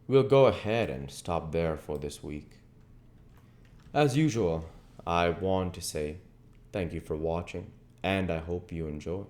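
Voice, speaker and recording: A man narrating a classic storybook as a reader, in measured phrases. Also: deep voice, darkish tone. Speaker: audiobook reader and channel host.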